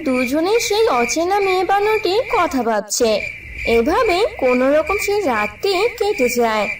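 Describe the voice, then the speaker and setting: A woman's voice: very high-pitched, sing-song, moderate pace. A woman narrating a scripted cartoon story. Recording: distant voice with clear background noise.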